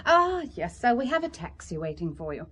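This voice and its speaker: A woman's voice: on the low side, sing-song, a little fast, fairly bright tone, husky, exaggerated voice. An actress playing a polite, helpful female airline clerk in an audio drama.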